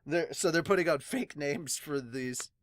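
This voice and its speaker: A woman's voice: low voice, measured pace, no echo, studio quality. A woman hosting an anime podcast, giggly and exclaiming as she reacts casually to a manga. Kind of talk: casual excited reaction and read-aloud.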